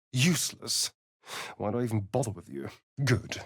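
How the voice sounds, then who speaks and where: Casting: sardonic, flirtatious vampire companion for a fantasy game; breathy, unhurried delivery, highly animated pitch. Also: male voice. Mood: scornful, cold. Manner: arch, flirtatious, swooping from purrs to exclamations. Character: preening, sardonic male vampire spawn companion. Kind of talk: theatrical fantasy video game dialogue.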